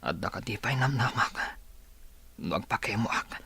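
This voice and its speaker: A man's voice: expressive, a little slow, gravelly, pushed and bright, husky, heavily acted. An actor with a Filipino Ilocano accent playing a sullen, despondent young rich man who is seriously ill, in a radio drama. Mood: weary, resigned. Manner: weary, sullen, low-spoken. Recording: radio drama with music underneath.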